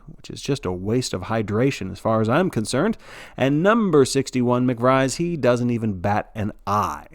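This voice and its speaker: A man's voice: medium pitch, no echo, studio quality. A man narrating a story as a sports broadcaster, in a theatrical play-by-play style with wry, folksy asides.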